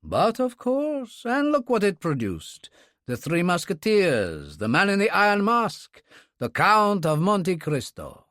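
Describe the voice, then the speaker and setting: A male reader acts out a suave Russian man of courtly, self-mocking charm. The accent is only slight and the pace is very leisurely. The pitch swings widely, in a close, dry storybook recording. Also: sing-song, no room sound. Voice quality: pleasantly deep. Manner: unhurried, courtly, ironic.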